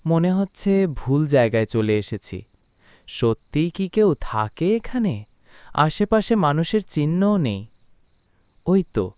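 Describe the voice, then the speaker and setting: A man's voice: on the high side, mellow, slight background noise. A man playing a young city traveller in a cartoon horror story, in scripted first-person delivery. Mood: musing.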